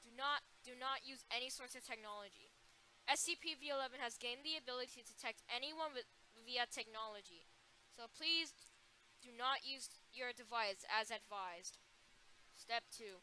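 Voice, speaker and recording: A woman's voice: fairly high pitch, moderate pace, bright, forceful tone, rough recording. A woman playing a flustered emergency alert announcer in an amateur scripted broadcast, stumbling and restarting phrases. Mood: urgent, instructing.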